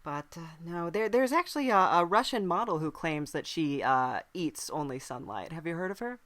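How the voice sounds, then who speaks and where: A woman plays an approachable demon from hell with a slightly low voice. The manner is polite, hesitant and understated, like a podcast host chatting with a guest. The sound is dry and close. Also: animated, normal speed, a bit bright, no echo. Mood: droll, polite. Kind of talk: improvised comic interview in character.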